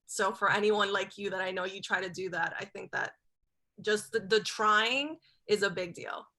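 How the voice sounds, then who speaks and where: A woman from Cuba, a television journalist, joins a panel discussion over a video call. Her voice is bright and forward. She speaks candidly and conversationally, often repeating a word as she starts a thought. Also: medium pitch, normal speed.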